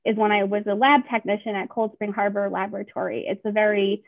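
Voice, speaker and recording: A woman's voice: medium pitch, flat delivery, quick pace. A woman postdoc speaking on a panel video call in an earnest, explanatory way. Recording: phone-like video call audio.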